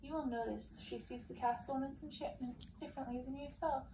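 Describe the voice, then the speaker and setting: A woman voicing cruel witch sisters from a storybook, mocking and deliberate in their taunts. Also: high voice, steady tone. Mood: menacing, taunting. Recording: roomy, distant, faint background noise.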